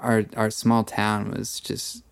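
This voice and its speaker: A man in his thirties, a podcast guest, talking casually and haltingly with many fillers. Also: lowish voice, monotone, gravelly, clear, resonant tone, no room sound. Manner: trained voice. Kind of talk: casual chat.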